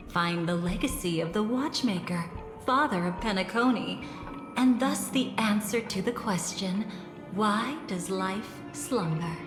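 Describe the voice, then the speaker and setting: A woman's voice: mid-range voice, lightly acted. An actress playing a seductive, mysterious woman in a video game, speaking in a soothing, teasing, theatrical way as a guide through memories. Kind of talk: scripted game voice acting, story narration. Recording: echoey reverberant hall, music behind.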